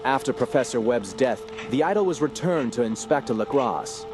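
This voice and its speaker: An actor playing an earnest, troubled scholar in a radio drama, speaking in a formal, measured, literary way. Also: male voice, medium pitch, animated, natural voice. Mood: grave, troubled. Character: earnest, troubled male scholar investigating a sinister cult. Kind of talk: radio drama narration and dialogue. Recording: music clearly behind the voice. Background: music.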